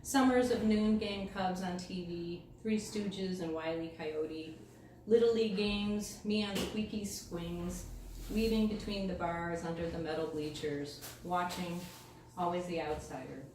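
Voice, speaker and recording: A middle-aged American woman giving a live poetry reading with casual introductions, phrasing expressively and deliberately. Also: on the low side, steady tone. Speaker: featured poet reading at a poetry event. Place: indoor live event space, mic far from speaker. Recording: distant, echoey room recording with clear noise.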